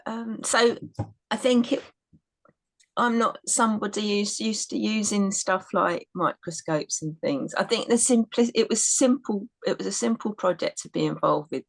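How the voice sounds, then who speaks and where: A woman's voice: on the low side, vocal fry, no echo. A woman who took part in a citizen science project, talking casually in a webinar, hesitant with restarts.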